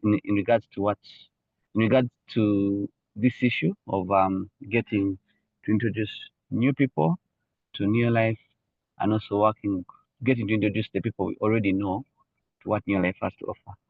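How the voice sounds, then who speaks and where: A low, husky male voice opens a business call, hesitating and restarting his phrases. The sound is dry and thin, like a phone line. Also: fairly even intonation, normal speed, no echo. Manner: hesitant, many fillers and restarts. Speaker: call host.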